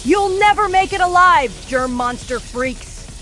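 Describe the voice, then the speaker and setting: A woman plays an earnest young boy squad leader with a high voice, giving orders in an animated comic dub. Music plays clearly behind the lines. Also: measured pace. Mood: fierce, commanding. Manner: commanding, earnest.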